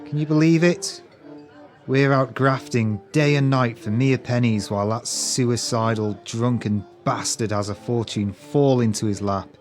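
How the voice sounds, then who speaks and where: A man's voice: medium pitch, measured pace, soft background music, natural voice. An actor playing a jealous, callous male swindler in an audio drama, sneering and self-pitying with grandiose, wordy speech. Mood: resentful, bitter.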